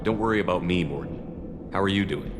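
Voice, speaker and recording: An actor playing a steady, pragmatic male military commander in a video game, matter-of-fact and direct. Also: sits low, brisk, background noise, lightly acted. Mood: measured. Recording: background music under the voice.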